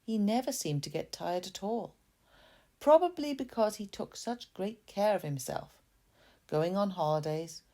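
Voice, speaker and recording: Female storyteller, low and mellow, gently confiding narration with chatty asides. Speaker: author reading own story.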